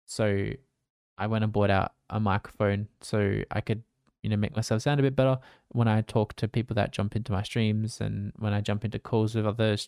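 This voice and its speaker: A man, a streamer, giving casual advice in a relaxed, rambling way with fillers and restarts, talking into a condenser desk mic. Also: on the low side, a little fast, vocal fry, clean tone, no room sound, clean studio sound. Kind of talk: casual advice talk to camera. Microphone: condenser desk mic with pop filter.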